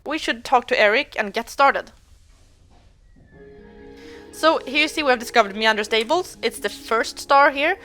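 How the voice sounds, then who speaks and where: Young woman, higher voice, chatty game walkthrough host, light music underneath. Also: normal speed, long pauses, pushed and bright. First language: Swedish. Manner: chatty, explanatory, self-correcting. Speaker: gaming video host. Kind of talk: let's play walkthrough commentary.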